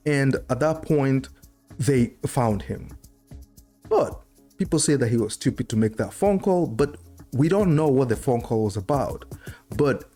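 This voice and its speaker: A man's voice: mid-range voice, animated, measured pace, dark tone, soft background music. A middle-aged man giving informal historical commentary as a host, conversational and explanatory, speaking into a close desktop microphone indoors. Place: indoor room at a desk. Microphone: desktop microphone close to the mouth.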